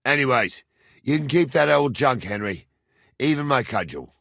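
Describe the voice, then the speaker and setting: An actor playing a gruff, crotchety old medieval soldier in a video game, bossy and foul-mouthed, with a rustic, colloquial way of talking. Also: male voice, mid-range voice, animated, normal speed, a bit bright, dry recording, studio quality. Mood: gruff, folksy. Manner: rustic, colloquial, cursing, ordering younger men about. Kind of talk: video game character dialogue.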